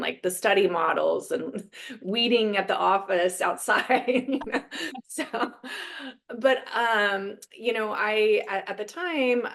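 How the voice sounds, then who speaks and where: A woman in her fifties from Eastern Washington, telling her story as a guest in a casual, chatty way with lots of fillers and laughs. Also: medium pitch. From Eastern Washington, USA. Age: 50s. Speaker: guest, dentist.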